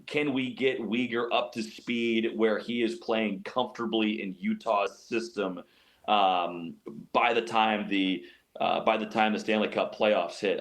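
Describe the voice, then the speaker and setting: A man giving sports analysis as an interview guest, explaining his points emphatically and often repeating phrases. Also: on the low side. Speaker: radio play-by-play announcer as guest.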